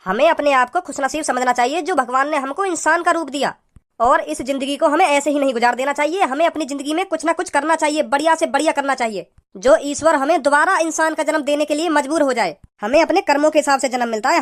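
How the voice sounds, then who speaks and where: A man playing a scolding, preachy village father in a comedy cartoon, with a pitched-up voice. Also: quick pace. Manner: preachy, repetitive, hectoring.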